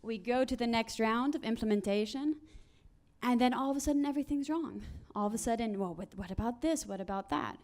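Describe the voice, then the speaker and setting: A woman's voice: fairly high pitch, a little fast. A woman speaking on a conference panel, telling work stories and acting out the people in them. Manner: animated storyteller acting out quoted people.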